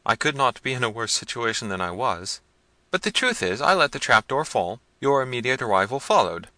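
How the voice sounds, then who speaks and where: A man's voice: medium pitch, sing-song, fairly quick, pushed and bright, no room sound, voice pushed up. A man voicing a bold, frank young peasant in a gothic novel reading, earnest, courteous and unafraid. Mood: defiant, frank.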